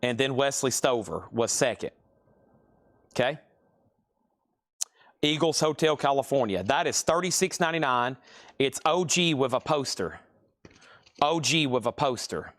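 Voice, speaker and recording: A man hosting a live record sale stream, briskly listing items and current bids with chatty asides to viewers. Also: mid-range voice, expressive, fairly bright tone.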